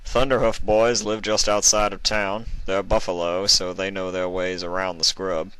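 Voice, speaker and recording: A man's voice: sits low, level tone, moderate pace, a bit bright, clean tone, no room sound, low-quality recording. A man voicing a nervous, folksy young stallion barkeeper in a Western story reading. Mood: thoughtful, chatty. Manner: chatty, folksy, easily rattled. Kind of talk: storybook character voice.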